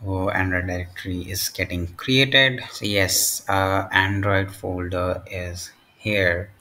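A man narrating a step-by-step software tutorial in short, halting phrases. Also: low voice, steady tone. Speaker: tutorial presenter.

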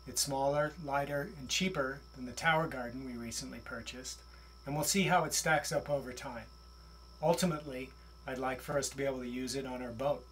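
Relaxed middle-aged man explaining his gear. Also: mid-range voice, brisk, a little hiss or hum, low-quality recording. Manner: relaxed, plain explaining with fillers. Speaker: vlogger. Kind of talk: casual show-and-tell walkthrough. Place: small basement utility room.

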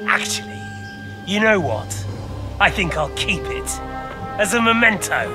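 An actor playing a smug, defiant lord holding hostages in a video game, with a taunting, coolly sardonic manner. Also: male voice, high-pitched, sing-song, a little slow. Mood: mocking, controlled. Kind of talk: video game cutscene acting. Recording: loud music underneath.

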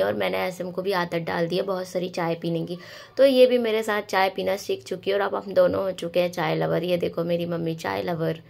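A young woman, hoarse from a cold, chatting to viewers in a casual vlog voice-over. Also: lowish voice, a little mellow. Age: young adult. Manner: chatty, addressing viewers directly. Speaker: vlogger and dance choreographer.

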